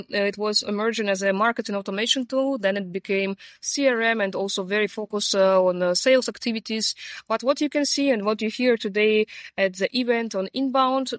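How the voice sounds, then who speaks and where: A woman from Ukraine, answering interview questions on a rooftop in a friendly way, pausing with fillers. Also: mid-range voice, no room sound, studio quality. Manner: friendly, pauses with fillers, laughs easily. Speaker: sales team lead, interview guest. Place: sunny rooftop at a conference.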